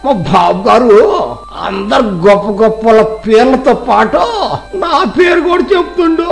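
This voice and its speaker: A cartoon village servant speaks in an extremely high voice with a rustic Telugu dialect. He wheedles and heaps flattery on his master like a simpleton. Light music plays behind him. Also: moderate pace, creaky. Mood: fawning admiration. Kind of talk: comic cartoon story dialogue.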